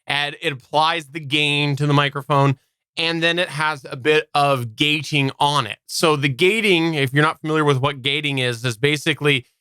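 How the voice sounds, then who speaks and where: A man with a bright, very clear voice hosts a casual technical chat. He explains things in a roundabout way, adding asides and qualifications as he goes. The sound is close and dry, as from a quiet home studio. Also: fairly high pitch, fairly even intonation, moderate pace, no room sound. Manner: discursive, qualifies his points with asides. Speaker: podcast host. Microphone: close studio microphone through preamp.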